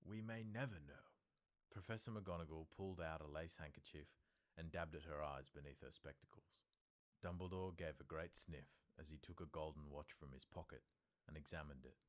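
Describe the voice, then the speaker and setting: A man reading scripted storybook narration as an audiobook narrator. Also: rumbling low.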